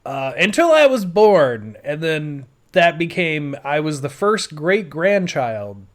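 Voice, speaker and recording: A man's voice: on the high side, big pitch swings, takes it slowly. A man with an American accent telling personal stories casually, rambling informally and swearing. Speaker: podcast host.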